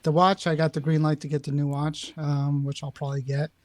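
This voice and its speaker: A middle-aged man co-hosting a casual tech chat on a video call, halting and self-deprecating, often trailing off, from a home room. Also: a little high, flat delivery, dry recording. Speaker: podcast co-host.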